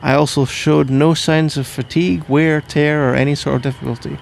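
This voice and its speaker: A man's voice: fairly high pitch, fairly even intonation, moderate pace, gravelly, slight background noise. A man playing a sleepy, grumbling, playful husband in an ASMR roleplay, rambling and bantering with mock indignation. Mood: teasing, mock-boastful. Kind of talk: ASMR roleplay, improvised-sounding one-sided conversation.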